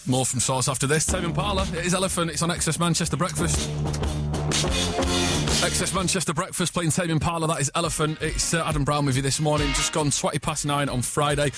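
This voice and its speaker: A man's voice: on the high side, steady tone, fast, loud music behind the voice. A man presenting a breakfast radio show in a casual, matey way from a studio. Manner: informal, matey. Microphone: studio broadcast microphone.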